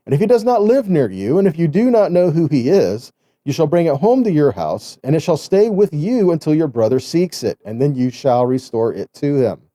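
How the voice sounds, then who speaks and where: A man's voice: on the high side, very animated, moderate pace, mellow, dry recording. A man giving a conversational sermon as a Bible teacher, reading scripture and explaining it with rhetorical questions and frequent check-in tags.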